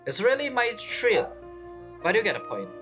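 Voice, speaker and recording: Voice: medium pitch, wildly swooping pitch, normal speed, music in the background. A game streamer giving casual let's play commentary in non-native English phrasing.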